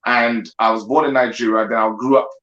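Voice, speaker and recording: A man, a guest panelist, makes a measured argument over a video call and keeps checking in with "right?". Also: medium pitch, fairly even intonation, fairly bright tone, lo-fi sound. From grew up in the United Kingdom. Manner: measured, builds his argument with frequent "right?". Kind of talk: discussion monologue. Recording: echoey room.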